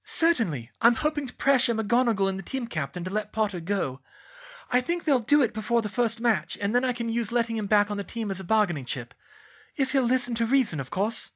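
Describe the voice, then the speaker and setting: A man puts on a prim middle-aged woman official for a storybook reading. The voice is pushed high and bright, swinging up and down with sugary, deferential politeness over a scheming nature. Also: expressive, brisk, lo-fi sound. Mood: smugly scheming. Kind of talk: audiobook character voice.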